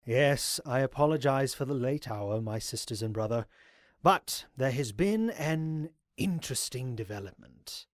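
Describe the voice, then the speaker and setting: A man's voice: mid-range voice, animated, measured pace, no echo, clean studio sound, lightly acted. A man playing a zealous, courteous pony cult leader in an audio drama, speaking in a formal, grandiose way. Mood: politely assured.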